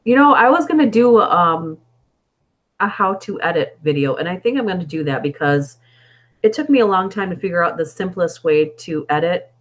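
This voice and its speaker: Hoarse woman chatting on a live stream. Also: fairly low pitch. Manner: chatty and meandering, thinking aloud. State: hoarse, losing her voice. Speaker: story reader and live streamer. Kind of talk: casual live-stream chat between story readings. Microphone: budget desktop microphone.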